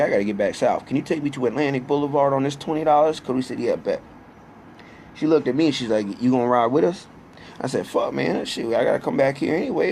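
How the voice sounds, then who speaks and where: A man in his thirties with a mid-pitched voice tells a personal story in loose, profane slang. He talks conversationally and slips bits of quoted dialogue into the telling. There is noticeable ambient noise behind him. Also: a little mellow. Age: 30s. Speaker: storyteller and host. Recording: clear background noise.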